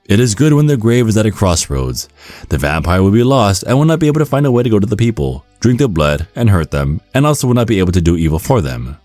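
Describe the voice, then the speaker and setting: A man with a very low voice delivers scripted ASMR narration like a guide to the listener. His intonation moves in wide, lively swings while staying measured and instructional. The sound is close and dry, with soft music behind him. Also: no echo.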